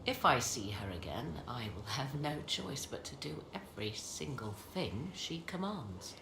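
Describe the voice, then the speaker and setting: A woman voicing a proud, guarded sorceress in a video game, with formal, deliberate, theatrical phrasing. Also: very deep voice, sing-song, creaky, exaggerated voice. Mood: resigned, bitter. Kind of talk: dramatic video game dialogue. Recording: echoey, noisy game scene capture.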